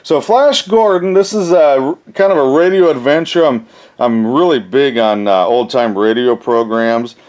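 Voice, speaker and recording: A man's voice: mid-range voice, measured pace, a little mellow. A man hosting a casual, unscripted collector's haul video, thinking aloud in a rambling way with folksy phrasing. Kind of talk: casual show-and-tell, unscripted.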